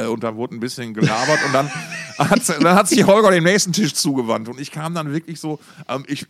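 A man chatting casually as a podcast co-host, excitable, often repeating words and cutting in. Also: medium pitch, vocal fry, no room sound. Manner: excitable, stammering repetitions, interrupting. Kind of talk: casual podcast banter, anecdotes.

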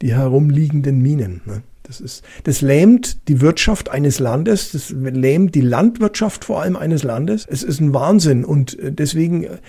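A man's voice: a little high, animated, measured pace, hardly any pauses, mellow, slightly rough. A middle-aged man with a Franconian German accent, a former police officer telling stories in a loose, colloquial way with many fillers and restarts. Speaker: retired police officer guest. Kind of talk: casual anecdotal storytelling.

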